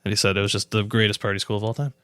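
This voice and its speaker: A man's voice: lowish voice, fairly even intonation, very fast, bright, forceful tone, smooth, no room sound, clean studio sound. A man hosting a casual sports podcast, bantering bluntly and teasing, with some swearing. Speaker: podcast host.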